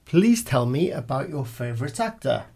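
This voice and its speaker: A man acts as examiner, reading scripted questions and instructions formally. His voice is mid-pitched with noticeable gravel and a husky edge. His intonation moves up and down in a lively way. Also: moderate pace.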